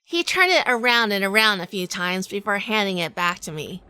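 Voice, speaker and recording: A woman playing a sardonic, quick-witted female detective in a hardboiled noir audio drama, narrating and talking with wry humor. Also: mid-range voice, very animated, bright, forceful tone, clean tone. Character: sardonic quick-witted 1940s female gumshoe, weary wife.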